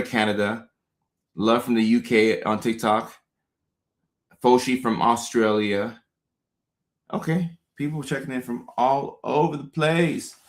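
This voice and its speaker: A man in his thirties, a comedian born in Ghana, hosting a casual livestream, upbeat and repeating his thanks and shout-outs to viewers. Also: mid-range voice. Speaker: comedian and show host. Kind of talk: casual livestream chat reading out viewer comments. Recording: roomy echo.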